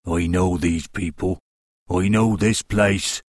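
A man's voice: deep voice, steady tone, slow pace, dry recording, clean studio sound. A man playing a kindly, watchful old man in a children's audiobook. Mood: reassuring.

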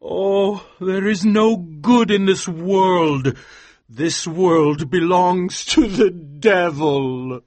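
A man voicing an anxious, pious young Puritan husband who cries out in slow, anguished phrases. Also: mid-range voice, animated, dry recording. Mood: despairing. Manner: drawn-out, anguished crying out.

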